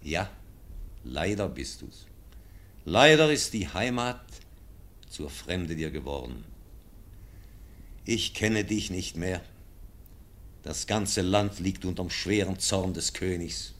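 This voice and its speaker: A man's voice: low voice, expressive, slow, long pauses, full character voice. An actor playing an old, dying nobleman who solemnly pleads with his nephew, in a classic radio play. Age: older. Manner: solemn, pleading, swings from loud to hushed.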